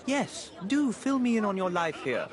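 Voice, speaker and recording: An actor playing a suave, courteous gentleman in a Victorian video game cutscene. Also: high voice, big pitch swings, moderate pace, a crowd in the background. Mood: smooth.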